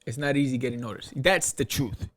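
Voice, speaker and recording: A man hosting a podcast in a casual solo talk, rambling in an animated way and often throwing out rhetorical questions. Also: mid-range voice, brisk. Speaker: podcast host. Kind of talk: casual solo talk to camera or mic about crowdfunding.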